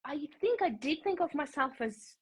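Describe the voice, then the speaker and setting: A woman in her thirties from Crimea, a Russian speaker, talking about her life in a casual interview and pausing often to find her words. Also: sits high, expressive. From Crimea, Ukraine. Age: 30s. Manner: thoughtful, hesitant, many fillers and restarts. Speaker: guest English teacher. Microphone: phone on a live video stream.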